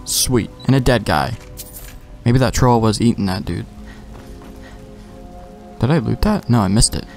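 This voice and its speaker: A man's voice: medium pitch, unhurried, pauses often, darkish tone, soft background music. A young man giving offhand, slangy commentary as he plays a video game, talking to himself. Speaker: gamer, let's play host.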